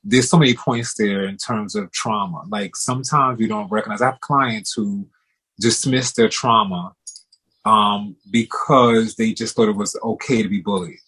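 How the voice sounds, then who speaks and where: A man's voice: fairly low pitch, fairly even intonation, moderate pace, a bit bright, breathy edge, rough recording. A man hosting an interview, responding to his guest in a supportive, affirming way with many "you know" asides. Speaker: host, therapist-style interviewer.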